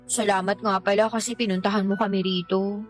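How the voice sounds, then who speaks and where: A woman's voice: mid-range voice, little pitch movement, a little fast, lightly acted. A Filipino woman acting in a radio drama as a fed-up young mother, complaining in an exasperated way. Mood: apologetic, weary. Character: fed-up young mother venting about her partner. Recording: background music under the voice.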